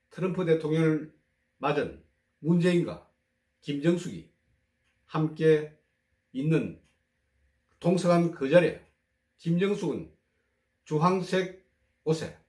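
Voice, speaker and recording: A man who is hoarse from a cold, hosting a political commentary show and talking in a measured, emphatic way, full of rhetorical questions. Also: a little high, a little mellow, reverberant.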